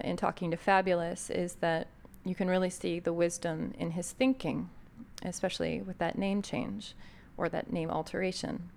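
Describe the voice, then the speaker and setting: A woman's voice: lowish voice, vocal fry, darkish tone. An American woman hosting a podcast, introducing an episode in a thoughtful, conversational way with frequent fillers. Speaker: podcast host.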